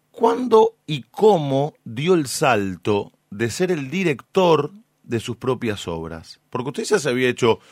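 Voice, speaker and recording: A man with an Argentine Rioplatense accent hosting a conversational radio interview in a measured, respectful manner, close to the microphone. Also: lowish voice, no room sound. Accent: Argentine Rioplatense Spanish.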